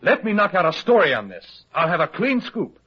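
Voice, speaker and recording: An actor playing an anxious, colloquial, fast-talking newspaper reporter in old-time radio detective style. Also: male voice, sits high, husky, full character voice. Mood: alarmed, pleading. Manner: colloquial, excitable, hesitant when pressed. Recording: dull, lo-fi old radio drama recording.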